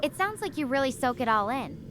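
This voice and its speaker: A woman's voice: sits high, sing-song, fairly quick, background noise. A synthetic woman's voice playing a stranded young space researcher, chatty and full of questions. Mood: curious. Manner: chatty, curious, asks many questions. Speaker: astrophysics researcher.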